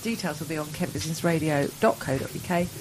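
A woman co-hosting a business radio show over a remote link, reading event details in a chatty, playful way with asides. Her voice sits on the low side, with a faint hiss of noise behind it. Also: fast. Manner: chatty, reads listings aloud with playful asides. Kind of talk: casual radio chat.

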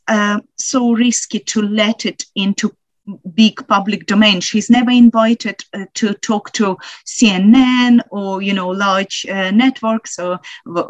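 A woman in her forties from Ukraine, speaking English with a Ukrainian accent as a guest expert in a panel discussion. She talks thoughtfully and pauses often with fillers. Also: mid-range voice, no room sound. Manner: thoughtful, hesitant with many fillers. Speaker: guest researcher.

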